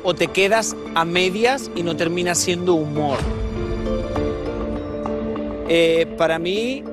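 A man's voice: high voice, a little slow, loud music behind the voice. A man on a talent show's judging panel, asking teasing, probing questions of a contestant. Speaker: talent show judge. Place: TV studio judges' panel.

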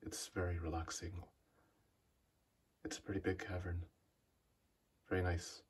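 A man vlogging in a dark cave, talking slowly and quietly, then calling out loudly to any spirits. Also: sits low, breathy edge. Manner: calm, slow and hushed, then loud calling out. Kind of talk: spirit box session. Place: inside a large cave.

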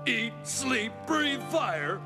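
A man voicing a boastful, grumpy male dragon who feels sorry for himself and talks in a theatrical, complaining way with sudden shouts. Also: very high-pitched, big pitch swings, drawn-out words, voice pushed up, exaggerated voice. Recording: music playing underneath.

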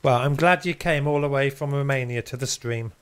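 A man's voice: medium pitch, a little fast, smooth. Chatty man streaming a game. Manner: chatty, swears casually, reads chat aloud. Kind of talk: casual live-stream chat.

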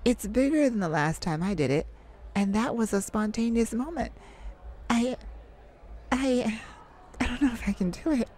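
A woman voicing a bashful, flirtatious male lounge singer in a soft, hesitant, intimate ASMR roleplay. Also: fairly high pitch, normal speed, pauses often, a little hiss or hum, lightly acted. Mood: shy, doubtful.

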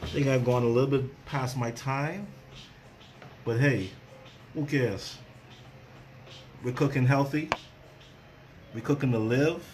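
A man's voice: mid-range voice, takes it slowly, pauses often, darkish tone, slight background noise. A middle-aged man hosting a casual cooking demonstration, chatty and repeating phrases for emphasis. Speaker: chef and cooking show host.

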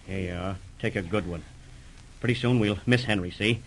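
An actor playing a brash, cold-blooded schemer in slangy tough talk, in 1930s radio drama style. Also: male voice, fairly low pitch, moderate pace, slight background noise, close to an everyday voice, poor audio. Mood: smug.

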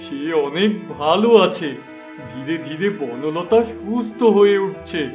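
A man performs a holy hermit in a cartoon fairytale. He speaks slowly and solemnly, a little high in pitch, calm with a vengeful edge. Instrumental music plays clearly behind him. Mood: knowing, solemn. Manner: solemn, deliberate. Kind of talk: Bengali fairytale cartoon acting.

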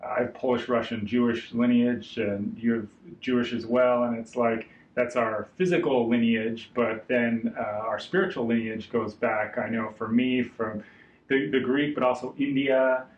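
A man's voice: medium pitch, steady tone, normal speed, recorded from a distance, muffled recording. Chatty male host in a roomy room. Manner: chatty, agreeable, spiritual-minded. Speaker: interview host. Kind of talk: casual interview chat. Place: small hotel room with lamp, indoors.

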